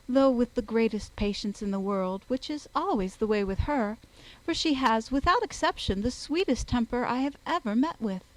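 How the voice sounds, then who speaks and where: A woman's voice: on the high side, voice pushed up, natural voice, poor audio. A woman voicing a fussy, effusive, self-satisfied middle-aged mother in a period novel audiobook. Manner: effusive, emphatic.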